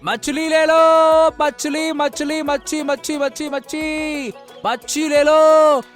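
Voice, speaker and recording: A man playing a stubborn, cheerful young fish seller in an animated folk tale, chatty and folksy. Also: extremely high pitch, slow, faint music behind. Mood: hawking loudly. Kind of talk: animated Hindi moral-story voice acting.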